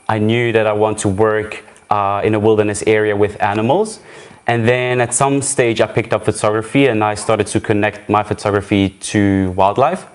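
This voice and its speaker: A man's voice: fairly low pitch, clear, resonant tone. A young man from Zurich introducing himself on a live show, friendly and enthusiastic, with slightly phrasing. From Switzerland, Zurich. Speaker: guest, wildlife photographer. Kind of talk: casual self-introduction.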